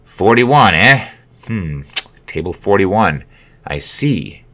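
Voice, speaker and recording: A man's voice: low voice, sing-song, slow, a bit bright, heavily acted. Guy reading as a sweet grandfatherly old man, good natured regular customer, audiobook style. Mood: pleased, musing. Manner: good-natured, chuckling, leisurely. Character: kind, grandfatherly old male restaurant regular.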